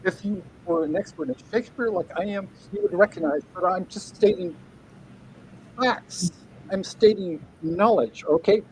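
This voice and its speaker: A man's voice: high voice, moderate pace, darkish tone, a little hiss or hum, poor audio. A middle-aged man, a guest writer on a video call, ranting angrily with swearing, repeated words and stumbling restarts. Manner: angry, profane, repeats himself, stumbling restarts. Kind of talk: angry livestream rant.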